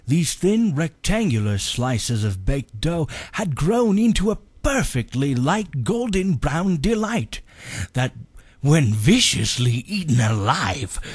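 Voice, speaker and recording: A man voicing a melodramatic soothsayer of the 1830s, narrating a dark storybook legend in a grandiose, ominous way with a husky voice. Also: a little high, dry recording, exaggerated voice. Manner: grandiose, ominous, drawn-out dramatic phrasing. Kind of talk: theatrical comic storybook narration.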